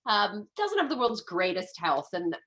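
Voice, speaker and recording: A woman in her fifties with an American accent and a nasal, slightly stuffed-up voice from a lingering head cold. She talks as a guest in a relaxed interview, chatty and eager. She often trips over her words and starts her sentences again. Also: medium pitch, measured pace. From United States. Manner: chatty, enthusiastic, restarts often. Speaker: guest, medical astrologer. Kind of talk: casual podcast interview.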